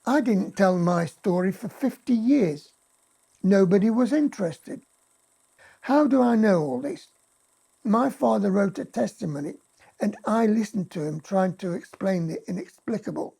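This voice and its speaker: Grave, husky woman reading testimony. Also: medium pitch, lively intonation, a little slow. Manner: quiet, grave first-person reading. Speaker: reader of a survivor's testimony. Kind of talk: read testimony.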